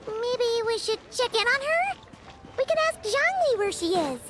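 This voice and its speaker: A woman voicing a tiny, cheerful, excitable childlike companion creature in a video game, squeaky and chatty. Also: unhurried, very noisy, full character voice. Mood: curious, uneasy. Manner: bubbly, exclamatory, refers to self in third person. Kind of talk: English video game voice acting.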